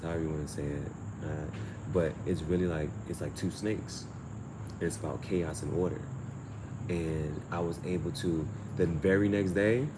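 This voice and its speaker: Guy chatting on a livestream, slangy, swears a bit, circles back on his words, noisy hum behind him. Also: deep voice. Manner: slangy, profane, repeats phrases while thinking aloud. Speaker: amateur tarot reader and channel host. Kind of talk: casual livestream storytelling.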